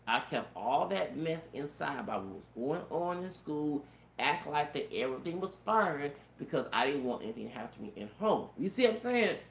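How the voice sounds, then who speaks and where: A man's voice: fairly high pitch, lively intonation, normal speed, gravelly, fairly bright tone. A man in his thirties with a feminine-sounding voice, telling a rambling personal story with stammered restarts and cursing. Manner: repetitive stammered restarts, profanity, frequent "you know what I'm saying". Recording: roomy echo, faint background noise.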